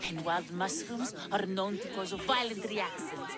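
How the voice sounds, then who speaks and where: An actress playing a smug, scheming young poisoner in a video game cutscene, mocking and condescending. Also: female voice, medium pitch, measured pace, a loud crowd, lightly acted. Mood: smug contempt. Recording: music under the voice.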